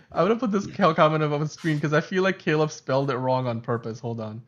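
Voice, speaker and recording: A man's voice: a little high, fairly quick. Livestream co-host, male, Urdu first language, loose and chatty, slightly muffled stream sound. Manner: chatty, self-correcting, laughs often. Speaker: podcast co-host. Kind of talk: casual livestream chat.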